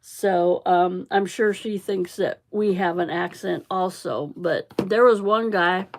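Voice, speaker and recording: Woman, Central Illinois accent, middle-aged, slow unhurried pace, rambling chatty livestream talk, mutters to herself. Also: fairly low pitch. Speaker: craft livestream host. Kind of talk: casual chat while crafting.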